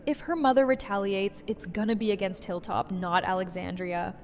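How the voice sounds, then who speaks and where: A woman's voice: mid-range voice, steady tone, normal speed, close to an everyday voice. A woman playing a blunt, wry, guarded community leader in a fan audio drama. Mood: defensive. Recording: echoey hall, faint music behind.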